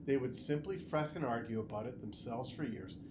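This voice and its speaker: A man reading a novel aloud in an expressive storytelling style, with emphatic, deliberate phrasing and short pauses. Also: medium pitch. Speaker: school superintendent reading aloud. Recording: noticeable background noise and ambience.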